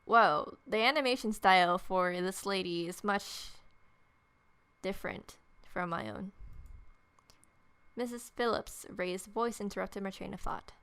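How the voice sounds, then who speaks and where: A woman's voice: medium pitch, measured pace, pauses often, clean tone. A woman doing a casual let's-play, reading game text aloud and adding wry, sardonic asides. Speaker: gaming video host. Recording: faint game music behind. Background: soft game music.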